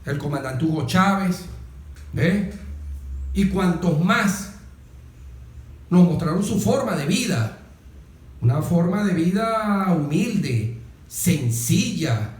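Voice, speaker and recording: A Venezuelan man speaks in a clearly high-pitched voice, recorded in an echoey room. He gives political commentary like a teacher, repeating key phrases for emphasis and checking in with short questions. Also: animated, slow pace, long pauses. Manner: didactic, emphatic, repetitive, with rhetorical questions and check-in tags. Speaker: interviewed guest.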